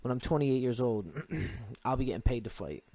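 A man's voice: medium pitch, expressive, moderate pace, dark tone, no echo. Earnest young man chatting casually. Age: 20s. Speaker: podcast guest, amateur MMA fighter. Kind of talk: casual chat.

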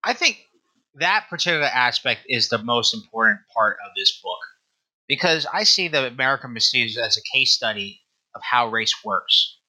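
A man speaking as an academic interview guest, explaining research in an emphatic, halting way with repeated phrases. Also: medium pitch, animated, pushed and bright, rough recording. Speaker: guest author and historian discussing own book.

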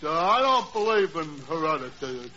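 A dull old radio recording of a slow-witted fellow. His dopey voice is high and swings widely, stumbling along from one simple thought to the next. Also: very animated, pushed and bright. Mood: dim, wondering. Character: slow-witted, simple male bar regular Finnegan. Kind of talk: 1940s radio comedy acting.

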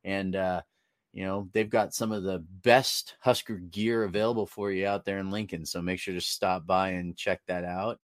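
A man in his late forties co-hosting a sports podcast, rambling along with plenty of ums. His voice sits a little low and comes across dry and close, with no room sound. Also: little pitch movement, moderate pace, no echo. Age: 40s. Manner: loose, rambling with many ums. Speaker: podcast co-host. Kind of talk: casual sports podcast chat.

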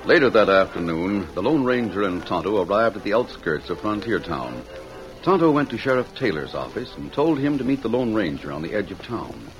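A low-voiced American man narrates a vintage radio adventure with broad, dramatic swings of intonation. Music plays prominently behind him. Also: sing-song, brisk, rough recording. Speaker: announcer-narrator. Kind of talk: dramatic scripted narration, old-time radio.

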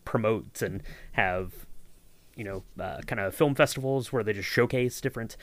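A man's voice: fairly low pitch, moderate pace, fairly bright tone. A man hosting a podcast, explaining things in a casual, conversational way. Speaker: podcast host. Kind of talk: casual behind-the-scenes podcast chat.

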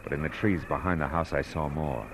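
An actor playing a wry, dogged private detective in a 1940s radio drama, with clipped, world-weary noir delivery. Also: male voice, rumbling low, brisk, a little hiss or hum. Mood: brooding, tense. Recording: vintage radio recording with loud music.

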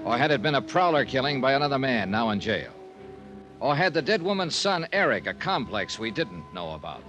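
An actor with an American accent playing a tough, sardonic, self-assured investigator in an old-time radio drama, clipped and wry in dialogue and narration. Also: male voice, medium pitch, big pitch swings, a little fast, highs cut off, music in the background, close to an everyday voice. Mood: brisk, narrating.

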